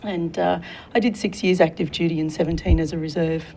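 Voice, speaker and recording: Australian woman, mellow low voice. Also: fairly even intonation, brisk, creaky, a little hiss or hum. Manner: warm, enthusiastic, laughs often. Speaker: guest, career consultant. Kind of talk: studio interview. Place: TV studio.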